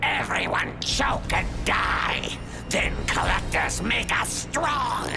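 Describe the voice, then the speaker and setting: A woman voicing a savage, menacing alien thug in a video game, with a harsh snarling rasp and broken, clipped speech. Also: fairly high pitch, sing-song, slow, in a whisper, music in the background, full character voice. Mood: gloating menace.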